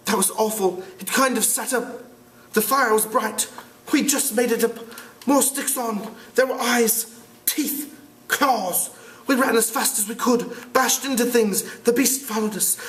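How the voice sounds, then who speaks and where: A man voicing a frightened boy describing a beast, in a raised, boyish pitch, reading a novel aloud. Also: fairly bright tone. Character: scared twin boys recounting a monster. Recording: echoey room.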